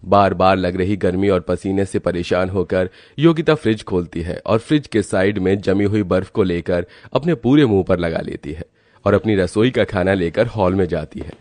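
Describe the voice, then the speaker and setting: A man's voice: low voice, moderate pace, a little mellow, dry recording. A man reading scripted storybook narration as the narrator of a tale.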